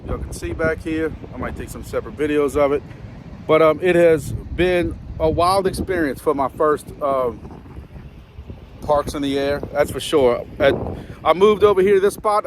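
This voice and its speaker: A man chatting casually in a rambling, easygoing way, often restarting his sentences, while recording a ham radio vlog outdoors on the coast. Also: on the high side. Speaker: ham radio operator vlogger. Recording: wind and vehicle noise outdoors.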